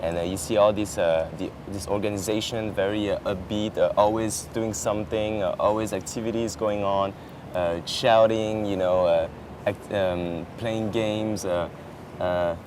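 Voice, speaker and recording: A man's voice: lowish voice, steady tone, unhurried. A young man with French as his native language, an exchange student chatting casually outdoors on a campus lawn, full of fillers and restarts. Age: young adult. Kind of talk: casual chat. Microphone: camera at a distance. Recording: outdoor traffic noise behind.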